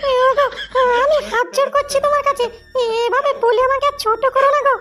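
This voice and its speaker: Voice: very high-pitched, steady tone, fast, sharp tone. A performer voicing a middle-aged village housewife in a comedy cartoon, whiny and wheedling with lots of exclamations. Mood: pleading. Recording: music behind the voice.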